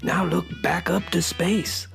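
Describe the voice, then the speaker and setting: A woman's voice: fairly low pitch, fairly even intonation, slow, creaky, faint music behind, heavily acted. A woman playing a hip beatnik cat who narrates a children's radio story in laid-back slang. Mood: hip, inviting.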